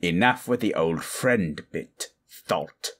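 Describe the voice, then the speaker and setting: A man's voice: low voice, lively intonation, slow, fairly bright tone, exaggerated voice. A man playing a grave, ancient lich who speaks in solemn, formal phrases in a fantasy audio roleplay. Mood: dry, irritated. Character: grave ancient male lich, stern and scheming mentor.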